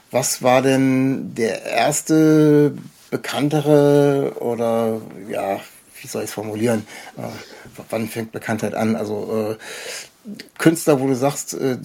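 A man interviewing casually and unscripted, halting often as he searches for the right words. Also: mid-range voice, gravelly, a little mellow. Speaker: interview host.

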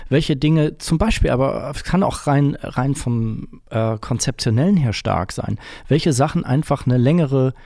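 A man's voice: medium pitch, expressive, unhurried, vocal fry, few pauses, dark tone, dry recording, studio quality. A middle-aged man talking reflectively as an interview guest, explaining his opinions and often searching for the right word. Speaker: interview guest, musician and composer.

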